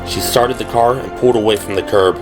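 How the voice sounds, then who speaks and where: Male narrator for audio drama: lower register, measured storytelling delivery, prominent music underneath.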